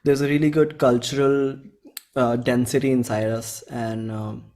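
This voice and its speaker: A man's voice: medium pitch, little pitch movement, darkish tone. A man in his twenties with an Indian accent, chatting casually in an interview as a musician, thinking aloud and often correcting himself. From central India. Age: 20s. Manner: informal, halting, thinks aloud with frequent self-corrections. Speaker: indie musician being interviewed.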